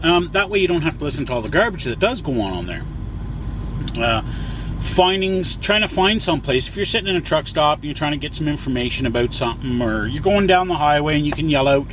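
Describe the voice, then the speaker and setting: A man's voice: a little high, lively intonation, quick pace. A man talking casually as a trucker vlogger, explaining things in a rambling, folksy way and repeating himself for emphasis. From Canada. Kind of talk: casual explanatory vlog talk. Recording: clear vehicle and outdoor noise. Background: vehicle and outside noise.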